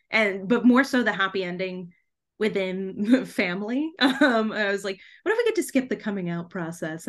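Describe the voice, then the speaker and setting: A young woman talking casually as a podcast guest, chatty and giggly, peppering her sentences with "like". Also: mid-range voice. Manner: chatty, frequent "like", giggles between phrases. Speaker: podcast guest, debut author. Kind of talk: casual conversational interview.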